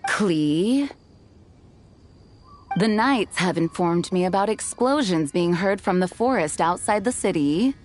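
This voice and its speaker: A woman playing a composed, kindly but firm knight commander in a video game, speaking in a measured, formal way. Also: on the low side, lively intonation. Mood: stern. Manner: measured, formal, gently authoritative.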